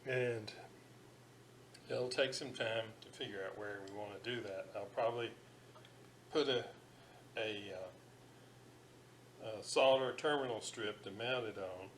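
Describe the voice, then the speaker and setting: A middle-aged man giving a casual workbench demonstration, thinking aloud in an unhurried way with fillers. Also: lowish voice, a bit bright, a little hiss or hum. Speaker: radio restoration hobbyist. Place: home electronics workbench.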